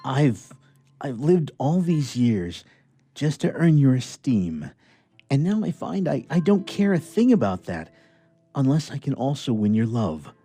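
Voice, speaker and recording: A man playing an earnest, honest doctor in love in a radio theatre reading, speaking solemnly and a little haltingly. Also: mid-range voice, big pitch swings, dark tone, slightly rough, heavily acted. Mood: earnest, pleading.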